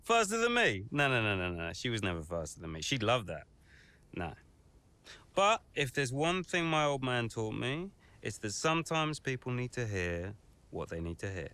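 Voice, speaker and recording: An actor playing a wry, proud racing rival with a gravelly voice in a video game cutscene. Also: male voice, medium pitch, sing-song, moderate pace, bright, forceful tone.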